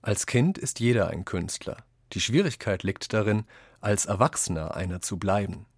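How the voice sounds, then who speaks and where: Male reader for radio-essay quotations, fairly low register, deliberate and articulate, dry studio sound. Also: animated, slightly rough, no room sound. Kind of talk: scripted reading of quoted texts.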